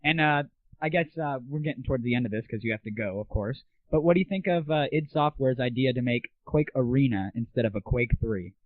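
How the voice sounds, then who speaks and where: A man's voice: mid-range voice, a little fast, no room sound. A young man hosting a casual gaming audio show, chatting and asking questions as an interviewer. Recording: tinny, phone-like, band-limited 1990s internet audio.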